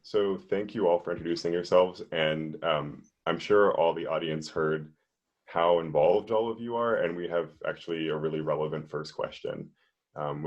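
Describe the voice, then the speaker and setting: A man moderating an online panel over a video call, explaining things steadily with frequent "um"s. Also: rumbling low. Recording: muffled, lo-fi video call audio.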